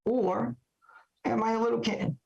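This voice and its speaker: Gravelly, hoarse man. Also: very high voice, fairly even intonation, darkish tone. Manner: halting, many fillers and self-questions. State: hoarse, recovering voice. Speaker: trial lawyer podcast guest. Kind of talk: reflective casual talk. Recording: dull lo-fi podcast audio.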